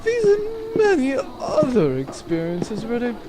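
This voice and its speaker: A woman's voice: sits high, huge pitch swings, a little slow, noisy background, heavily acted. Woman playing a haughty, swooping space noblewoman. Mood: smug, languid. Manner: theatrical, pompous, languid drawl. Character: bored, cruel, haughty aristocratic noblewoman from space. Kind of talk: comedic sci-fi audio drama acting.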